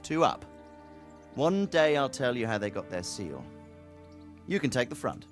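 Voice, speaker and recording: Kindly blacksmith dad, lively low voice. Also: male voice, measured pace, soft background music. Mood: cheerful. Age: middle-aged. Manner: gentle, reassuring, fatherly. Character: warm, steady medieval blacksmith father.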